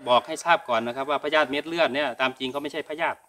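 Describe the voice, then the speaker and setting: Middle-aged male host from Thailand, bright forceful tone, informal and polite explanatory delivery to viewers. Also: medium pitch, rapid-fire. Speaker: cattle farming channel host. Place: open-sided cattle barn.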